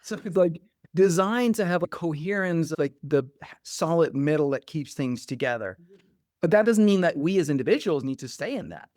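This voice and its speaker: A man's voice: fairly high pitch, animated, moderate pace, a little mellow, no room sound, clean studio sound. A middle-aged man from Denmark, a podcast guest and coach speaking reflectively and animatedly, with lots of "like" fillers. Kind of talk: conversational interview.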